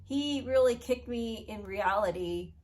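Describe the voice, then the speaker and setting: A young woman who has a cold, so her voice comes out nasal. She talks earnestly and reflectively to her viewers in a casual vlog, at a middling pitch for a woman. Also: measured pace, a little mellow. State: sick, nasal. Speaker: YouTuber. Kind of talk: casual talking-head vlog advice.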